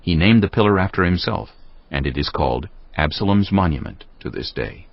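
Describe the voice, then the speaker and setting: A man's voice: low-pitched, expressive, brisk, vocal fry, no room sound. A man narrating a dramatized audio Bible as a scripted story. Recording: dull lo-fi audio.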